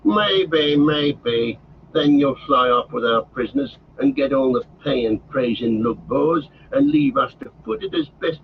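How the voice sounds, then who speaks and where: A man voicing a sly, evil male orc messenger who speaks softly and sneers. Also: on the high side, voice pushed up. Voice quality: soft, sneering voice. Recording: dull lo-fi with faint hiss.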